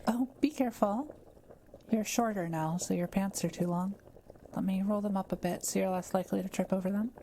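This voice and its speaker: A woman playing a kind forest witch in a roleplay audio drama, speaking softly, carefully and patiently to the listener. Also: mid-range voice, fairly quick, a little hiss or hum, natural voice. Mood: caring, attentive. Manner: soft-spoken, careful, patient explaining. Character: kind, quiet-living forest witch, gently reassuring.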